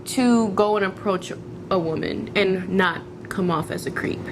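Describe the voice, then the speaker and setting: A woman co-hosting a casual chat podcast, animated and chatty, calling listeners girl and ladies. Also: mid-range voice, unhurried, noisy background. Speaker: podcast co-host.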